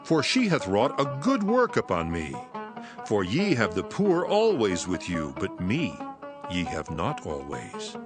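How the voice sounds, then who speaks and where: Solemn authoritative teacher, lowish voice, lively intonation, measured archaic speech, scripture drama, strong music bed. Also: male voice. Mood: solemn, reproving. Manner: solemn, measured, weighty delivery. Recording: voice over prominent music.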